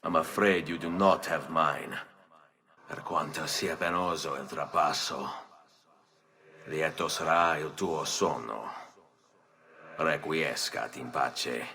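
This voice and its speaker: An actor playing a stern, solemn young assassin with an Italian accent in a video game cutscene. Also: male voice, very deep voice, slow, long pauses, sharp tone, full character voice. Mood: grave, resolute.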